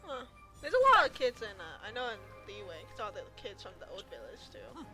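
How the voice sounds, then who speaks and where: Bright, animated high-voiced woman gamer. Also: a little fast, faint music behind, poor audio. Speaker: gamer on a livestream. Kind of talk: casual gaming chat.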